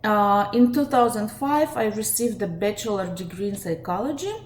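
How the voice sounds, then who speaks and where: A woman's voice: medium pitch, normal speed. Woman with a Russian accent, webinar host, earnest, fillers, roomy echo, faint background hiss. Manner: steady, earnest, with frequent fillers. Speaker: life coach hosting a webinar. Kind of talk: live webinar talk to viewers. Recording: echoey room, faint ambient noise.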